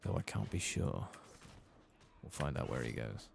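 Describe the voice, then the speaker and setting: A British man with an extremely low voice, thick with creak and fry, speaks softly as he plays a game in ASMR style. He rambles in a low-key, musing way, close to the mic. A faint noise sits behind him. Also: expressive, measured pace. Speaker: gaming streamer, ASMR host. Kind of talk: soft-spoken ASMR game commentary, partly whispered.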